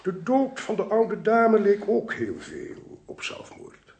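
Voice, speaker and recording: An actor playing a shrewd, commanding male investigator in a radio play, brisk and dryly ironic. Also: sits high, huge pitch swings, creaky, darkish tone, slight background noise, exaggerated voice. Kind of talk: Dutch radio play acting.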